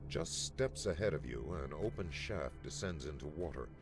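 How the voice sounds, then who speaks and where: An actor voicing a solemn dungeon master who narrates a fantasy video game in a scripted storytelling style. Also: male voice, extremely low pitch, lively intonation, moderate pace, over music. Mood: solemn, foreboding.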